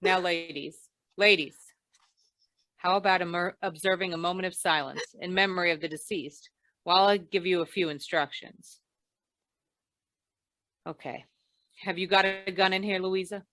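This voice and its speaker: A woman acts as a hard-boiled investigator from an old radio noir. The voice sits on the low side, dry and close. The delivery is wry, cool and commanding. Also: steady tone, normal speed, pauses often, a bit bright, dry recording, natural voice. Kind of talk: old-time radio detective drama, noir narration.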